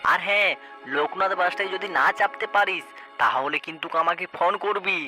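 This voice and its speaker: A woman's voice: on the low side, a little fast, sharp tone. Lady doing caring village auntie for a cartoon, cautious, giving instructions over music. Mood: insistent. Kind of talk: cartoon story dialogue. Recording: music behind the voice. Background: background music.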